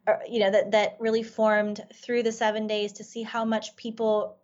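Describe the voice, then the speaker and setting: A woman's voice: medium pitch, completely flat delivery, moderate pace, a little mellow, dull audio. A woman whose first language is Farsi, a life coach telling a personal story in an earnest, reflective way with many "you know" fillers. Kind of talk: storytelling in a podcast interview.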